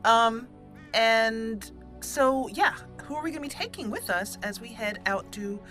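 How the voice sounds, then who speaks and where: Bright-voiced woman chatting over game music. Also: on the high side, a little slow. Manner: chatty, thinking aloud, playful. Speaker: gaming video host. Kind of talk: casual roleplay game walkthrough commentary.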